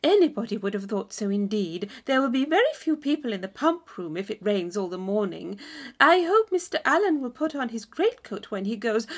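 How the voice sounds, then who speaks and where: A woman's voice: sits high, a bit bright, heavily acted. A woman voicing a placid, complacent married lady in a storybook, prattling on about trifles.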